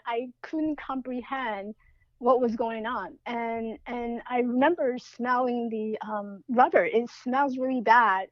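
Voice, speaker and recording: A woman's voice: fairly high pitch, no echo, muffled recording. A woman, telling a personal story as a podcast guest in an earnest way, often restarting her phrases. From Taiwan. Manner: earnest, with frequent restarts and fillers.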